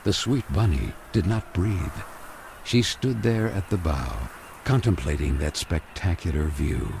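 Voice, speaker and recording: Slow, very deep-voiced storybook narrator. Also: male voice, expressive, gravelly, darkish tone, a little hiss or hum, heavily acted, low-quality recording. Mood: solemn, measured. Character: solemn storybook narrator of a dark fairy tale. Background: music.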